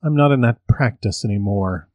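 A man's voice: lowish voice, moderate pace, no echo, close to an everyday voice. A man doing a smug, growling old witch.

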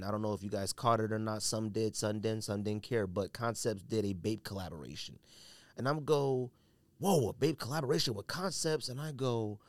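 A man speaks in a mid-range voice, recorded close and dry. He delivers a casual solo podcast monologue, reasoning out loud, circling back and softening his opinions as he goes. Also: medium pitch, dry recording. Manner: hedging, self-qualifying, thinking aloud. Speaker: sneaker podcast host.

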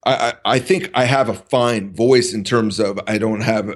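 A man speaking as a podcast guest, talking in a deliberate, halting way with many pauses and restarts. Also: fairly low pitch, steady tone. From United States. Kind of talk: casual conversational interview.

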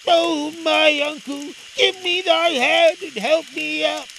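Lifted high voice, boyish, earnest, stubborn, archaic storybook phrasing, a man acting it, noisy recording. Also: takes it slowly, creaky, exaggerated voice. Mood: exhausted, pleading. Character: obstinate, boyish young lad, pleading and breathless.

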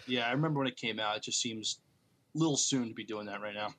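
A man co-hosting a podcast, talking casually about films in a somewhat halting way. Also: lowish voice, fairly bright tone. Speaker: podcast co-host. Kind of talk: casual movie news chat.

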